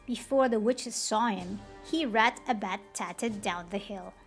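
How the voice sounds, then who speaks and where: A woman reading a children's picture book aloud expressively, in a deliberate, animated storytelling manner. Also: medium pitch, gravelly, faint music behind.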